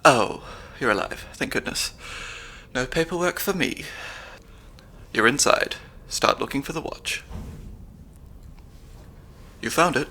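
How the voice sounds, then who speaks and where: A man's voice: medium pitch, lively intonation, slow, long pauses, a little hiss or hum. A young man voicing a nerdy, slightly anxious stand-in spy handler with dry wit, in an ASMR audio roleplay. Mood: flustered. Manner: analytical, dry wit, soft-spoken.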